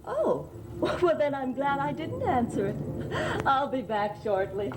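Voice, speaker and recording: A woman's voice: high voice, a little slow, background noise. An actress playing a talkative, happy lady of the house who gushes as she speaks, from an old television soap opera. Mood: cheerful. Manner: gushing, talkative, breathy with excitement. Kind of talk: 1970s soap opera acting.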